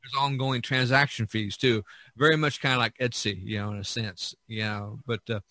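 Male podcast host, bright forceful tone, very dry close sound, casual rambling storyteller. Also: mid-range voice, fairly even intonation, moderate pace, dry recording. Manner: rambling, repeats and circles back. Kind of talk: casual chat, reminiscing.

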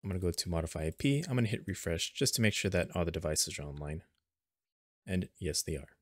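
A man narrating an unscripted step-by-step software tutorial in a plain, instructional manner, recorded as a screen voice-over. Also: deep voice, expressive, fast, no echo, clean studio sound.

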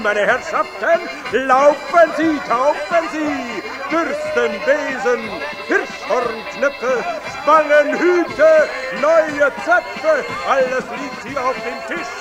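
A man playing a loud, jolly market hawker, shouting rhyming sales patter in a children's audio play. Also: extremely high pitch, exaggerated voice, rough recording. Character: loud bargain-hawking market crier, brash and jolly. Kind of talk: children's radio play acting.

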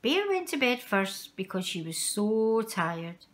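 Female storyteller for children's picture books, mid-pitched with animated, swooping intonation and measured dramatic emphasis. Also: slight background noise. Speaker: storyteller reading a children's picture book aloud. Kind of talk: expressive read-aloud children's story narration.

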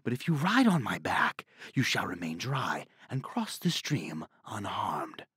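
A man voicing a sly storybook fox with a calm, courteous and formal way of persuading. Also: lowish voice, measured pace, slightly rough, dry recording, studio quality, full character voice. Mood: calm, persuasive. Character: smooth-talking, calm, sly red fox.

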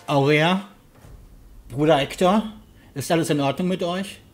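A man playing a concerned, sceptical huntsman guide in a fantasy audio drama, with a measured, questioning manner. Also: a little high, soft background music. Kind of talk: fan-made fantasy audio drama acting.

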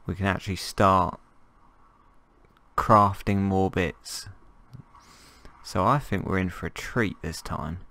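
A man with a croaky voice from a cold, chatting casually and jokingly as he plays and narrates a survival game. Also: sits low, fairly even intonation, takes it slowly, pauses often, bright, forceful tone. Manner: rambling, jokey, thinking aloud. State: hoarse from a cold. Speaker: gameplay commentator. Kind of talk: casual gameplay commentary.

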